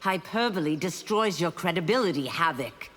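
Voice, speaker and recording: A woman's voice: on the low side, lively intonation, moderate pace. An actress playing a composed, righteous warrior woman in a fighting game, earnest and reproving. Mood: grave, reproving. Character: righteous, composed female warrior, morally firm. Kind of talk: fighting game character dialogue. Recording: reverberant hall, loud background noise.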